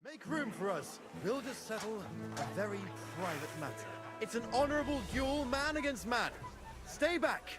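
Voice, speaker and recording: A man's voice: very high voice, animated, normal speed, music in the background. An actor playing a dutiful, proud young nobleman, a younger son, speaking formally and earnestly in medieval game dialogue. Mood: stern challenge.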